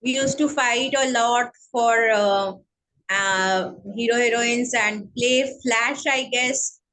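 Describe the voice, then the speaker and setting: Woman, Bengali accent, high voice, hesitant, halting speech, interview guest. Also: a bit bright, rough recording. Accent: Bengali-accented English. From India. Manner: hesitant, many fillers, slow. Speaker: guest, counselling psychologist. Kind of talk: online interview, casual chat.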